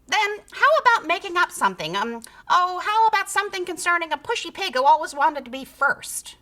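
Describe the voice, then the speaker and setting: A woman's voice: very high voice, very animated, a little fast, bright, forceful tone, voice pushed up, heavily acted. A woman voicing a small, bossy female storybook creature with a cackling, imperious, sing-song delivery. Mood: demanding.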